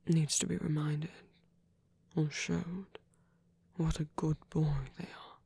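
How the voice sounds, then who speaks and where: A gentle, protective vampire priest, acted by a man for an ASMR roleplay, murmurs in an extremely breathy voice that keeps slipping into whispers. He is halting and earnest, as if carefully confessing his love. The sound is close and dry. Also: on the high side, little pitch movement, dry recording, full character voice. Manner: hesitant, halting, earnest delivery. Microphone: close microphone, dry sound.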